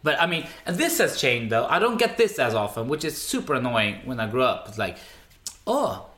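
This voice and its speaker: A man in his twenties who grew up in Sweden, telling stories in casual chat with animated, mock-indignant asides. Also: mid-range voice, normal speed. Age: 20s. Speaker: comedian co-host. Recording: roomy echo.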